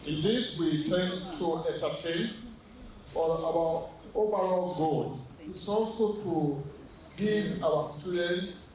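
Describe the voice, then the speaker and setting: A man presenting a play in an opening address, measured and deliberate, recorded at a distance in an echoey hall. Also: a little high, a little mellow. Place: large indoor hall.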